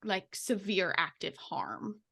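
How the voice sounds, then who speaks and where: A woman of average pitch talks casually as a podcast co-host. She reasons her way through points reflectively, often seeking agreement as she goes. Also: slow pace, creaky, pushed and bright, slightly rough. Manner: reflective, reasoning aloud, checks with "right?".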